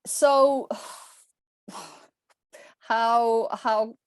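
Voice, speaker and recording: A woman's voice: on the high side, fairly even intonation, very slow, dry recording. A woman in her forties from Italy with an Italian accent, a podcast guest telling her story with many fillers and restarts. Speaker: guest, personal stylist. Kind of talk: podcast conversation.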